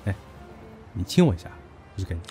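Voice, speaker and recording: An actor in an audio drama playing a brash, possessive younger businessman, a blunt, cocky and teasing lover who bickers gruffly. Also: deep voice, very animated, fast talker, mellow, soft background music. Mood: teasing, tender.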